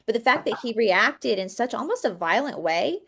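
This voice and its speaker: A woman's voice: a little high, very animated, normal speed, vocal fry, fairly bright tone, husky. A woman co-hosting a casual chat, talking passionately and rambling on with restarts. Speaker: co-host.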